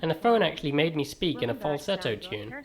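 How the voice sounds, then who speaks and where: A man's voice: on the high side, sing-song, quick pace, crowd noise. A man with a transatlantic accent narrating a scripted game review in a wry, dry-humoured manner.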